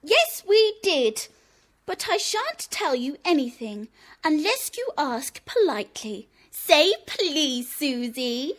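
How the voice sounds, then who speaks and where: A woman's voice: high-pitched, big pitch swings, slow pace, a bit bright, heavily acted. A woman playing a cheeky, stubborn young girl in a children's audio drama, teasing and smug with emphatic delivery.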